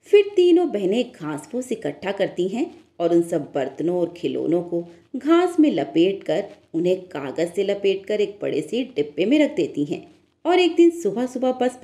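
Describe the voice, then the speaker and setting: A woman's voice: lowish voice, very animated, moderate pace, mellow. A woman narrator telling a scripted moral story in a storytelling style.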